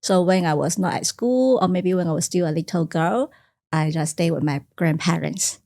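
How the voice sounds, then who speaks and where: A woman from China whose first language is Teochew, speaking softly and reflectively as a guest in a studio podcast interview. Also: on the low side, moderate pace. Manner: soft-spoken and reflective, simple phrasing with small grammar slips. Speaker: guest, career coach. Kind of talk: podcast interview, personal storytelling.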